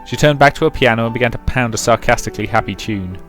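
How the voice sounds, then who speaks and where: A man's voice: medium pitch, fast, fairly bright tone, faint music behind. A man doing a let's play, reading a visual novel aloud and dropping in casual, chatty asides.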